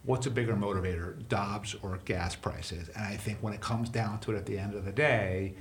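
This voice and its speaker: A man's voice: lowish voice, slight background noise. Chatty man hosting a podcast, roomy sound. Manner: chatty, rambling, with many fillers. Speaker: podcast host. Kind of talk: casual podcast interview. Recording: roomy echo.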